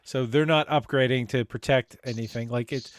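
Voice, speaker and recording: Man, mid pitch, dead-dry room, talks in loose rambling stretches, lots of ums. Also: steady tone, moderate pace, no room sound. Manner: rambling, hesitant, many fillers and restarts. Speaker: chat show co-host. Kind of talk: casual video chat.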